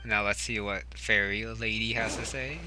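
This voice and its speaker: A man giving casual gameplay commentary, low-key, as if muttering to himself. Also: fairly even intonation, normal speed. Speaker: game streamer. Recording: game music behind the voice.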